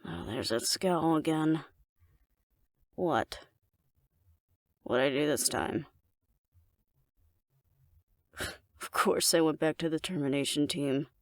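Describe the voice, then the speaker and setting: Woman playing a flirty, gravelly alpha werewolf woman. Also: sits low, slow, pauses often, no room sound, clean studio sound. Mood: defiant, teasing. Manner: teasing, coaxing, playfully domineering. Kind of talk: intimate scripted audio roleplay addressed to the listener.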